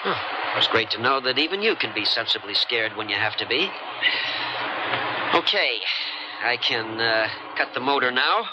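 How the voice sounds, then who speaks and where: Wry young boat skipper played by a man, lively swooping voice. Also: medium pitch, natural voice. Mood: teasing, probing. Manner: bantering, sardonic, halting. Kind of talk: 1970s radio drama acting. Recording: muffled, noisy 1970s radio recording.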